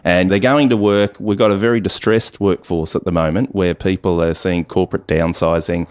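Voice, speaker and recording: A man with a fairly low voice hosts an interview. He talks in a conversational way, framing topics and passing questions to his guests. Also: steady tone, normal speed. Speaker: radio show host.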